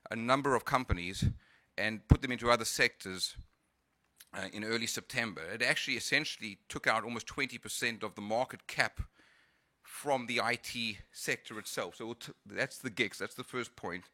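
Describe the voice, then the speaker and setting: A man's voice: mid-range voice, sharp tone, no echo. A man whose accent mixes Cape Town and California, giving a conference talk with frequent hesitations and restarts. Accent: South African and Californian mix. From South Africa. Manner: hesitant, many fillers and restarts. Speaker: conference speaker. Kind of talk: conference presentation.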